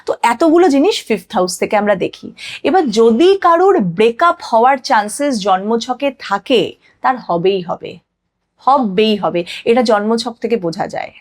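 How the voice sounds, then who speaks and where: A woman's voice: fairly high pitch, animated, moderate pace. A woman explaining as an astrologer guest on a podcast, teaching with emphatic repetition and mixing in English phrases. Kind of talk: explanatory podcast interview talk.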